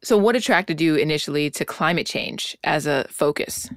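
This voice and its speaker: A woman's voice: low-pitched, animated, normal speed, no echo, studio quality. A woman interviewing a guest in a casual, upbeat and encouraging way. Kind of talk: conversational interview.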